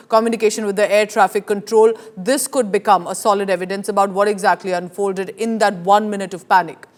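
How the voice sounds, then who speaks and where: A woman news anchor with an Indian accent and a mid-pitched voice. She presents live news emphatically, setting out each point in an explanatory way.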